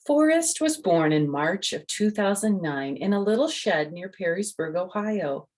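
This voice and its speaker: An older woman reading a picture book aloud to children, gently explaining with chatty asides and questions to the listener. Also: medium pitch, animated, a little slow. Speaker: storyteller and host.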